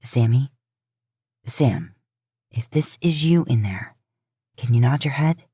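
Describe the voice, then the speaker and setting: A woman voicing a protective, gruff older brother who talks in a plain, coaxing, reassuring way in a story reading. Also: a bass voice, animated, slow, mellow, no room sound, clean studio sound, voice pushed down, heavily acted. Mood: tentative, gentle.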